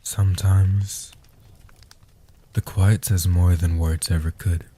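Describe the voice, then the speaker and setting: A man playing a centuries-old guardian vampire, gentle and protective, speaking softly and huskily in hushed, slow phrases for a comfort roleplay audio. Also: sits low, little pitch movement, full character voice. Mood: gentle, reassuring. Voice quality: soft-spoken, husky. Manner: slow, hushed delivery with long pauses.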